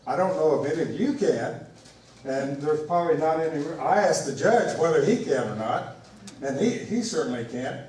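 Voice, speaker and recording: A man's voice: a little high, a little mellow, slight background noise. Indignant man in an echoey hall. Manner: halting, many fillers and restarts, indignant. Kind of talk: public meeting remarks. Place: large meeting room. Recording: echoey meeting hall from far off.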